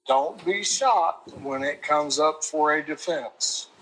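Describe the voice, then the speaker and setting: A man's voice: fairly high pitch, slow pace, sharp tone, low-quality recording. A man giving blunt, emphatic casual reaction commentary on true crime.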